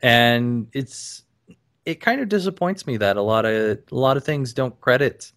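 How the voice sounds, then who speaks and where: A man hosting a casual podcast, talking in a measured way with many pauses and restarts. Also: mid-range voice, lively intonation, a bit bright. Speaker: podcast host.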